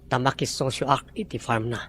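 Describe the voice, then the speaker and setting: A man's voice: medium pitch, a little fast, fairly bright tone, close to an everyday voice. Actor as envious, plotting boss, male, audio drama; insistent and touchy, faint background noise. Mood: scheming. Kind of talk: Ilocano audio drama acting.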